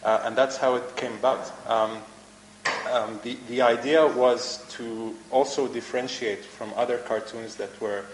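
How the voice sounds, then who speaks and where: A man from Egypt, a native Arabic speaker, answering interview questions on stage in a halting way, often repeating words. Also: mid-range voice, steady tone, slight background noise. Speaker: guest cartoonist. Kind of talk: live event interview. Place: event hall with audience. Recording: echoey room with faint ambience.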